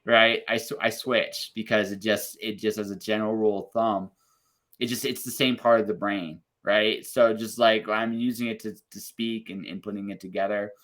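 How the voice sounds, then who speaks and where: A man hosting a podcast interview over a video call, upbeat and encouraging, talking in quick bursts. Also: lowish voice, flat delivery, fairly bright tone. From Canada. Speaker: podcast host. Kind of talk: casual interview chat.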